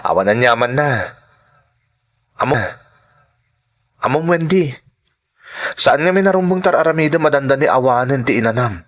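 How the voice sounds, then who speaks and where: A caring Filipino workmate played by a man with a medium-pitched voice, from a radio-style drama. He is earnest and solicitous, a protective friend among workers far from home. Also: lively intonation, moderate pace, long pauses, fairly bright tone, natural voice. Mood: caring, advising. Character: caring, concerned Filipino male friend and fellow migrant worker. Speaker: drama actor. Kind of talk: Filipino audio drama acting.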